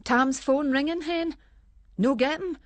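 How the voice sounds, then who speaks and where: A woman's voice: high voice, a little slow, slight background noise. A woman playing a chatty, anxious housewife in a radio drama, rambling on in homely broad Scottish dialect. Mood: restless, fretting.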